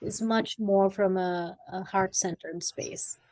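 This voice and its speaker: A woman's voice: mid-range voice, steady tone, takes it slowly, poor audio. A woman in her forties, originally from Sri Lanka, telling her story as an interview guest with a reflective manner. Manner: reflective, warm storytelling with fillers. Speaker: guest, executive coach. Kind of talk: conversational interview.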